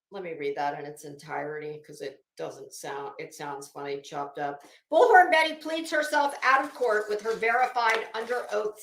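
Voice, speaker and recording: A woman's voice: medium pitch, creaky, fairly bright tone, roomy sound. A woman livestream host giving casual, sardonic commentary with theatrical, emphatic readings. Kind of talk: casual commentary with dramatic reading of a legal document.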